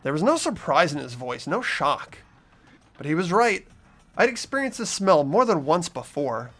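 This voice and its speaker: An expressive narrator reading a game story and chatting casually in a group playthrough. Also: medium pitch, moderate pace, faint music behind.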